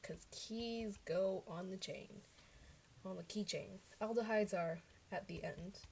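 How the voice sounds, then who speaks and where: A woman's voice: mid-range voice, slow, creaky, a little mellow, a little hiss or hum. A young woman whose first language is Chinese, talking to herself as she checks her study answers on a casual livestream into a desk microphone, often correcting herself.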